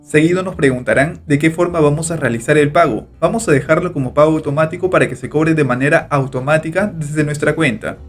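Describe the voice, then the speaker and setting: A man calmly narrating a step-by-step screen tutorial as host, walking through each click. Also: a little high, a little fast, few pauses, soft background music. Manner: steady instructional narration, repeats click steps. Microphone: voice-over over screen recording.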